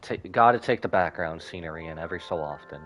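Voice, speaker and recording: A man's voice: low voice, fast talker, a bit bright, soft background music. A man giving casual live gameplay commentary as a streamer, thinking aloud and reacting with exclamations.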